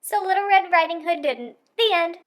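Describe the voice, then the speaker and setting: A woman voicing an eager, excitable little girl chicken in a children's picture book read-aloud. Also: very high-pitched, normal speed, voice pushed up. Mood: excited, urgent.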